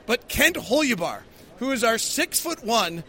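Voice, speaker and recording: A man's voice: extremely high pitch, big pitch swings, a little slow, bright, forceful tone. A man hosting a post-game sports show, giving casual, emphatic basketball analysis and stories with a taste for exaggeration. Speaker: sports podcast host, basketball broadcaster.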